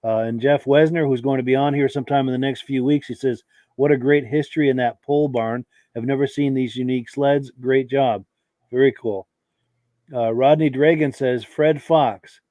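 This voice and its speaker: A man hosting a live podcast who has a cold and sounds hoarse, reading out viewer comments with short, easygoing reactions. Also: medium pitch, moderate pace, dry recording. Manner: relaxed, reads comments aloud with brief reactions. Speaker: podcast host.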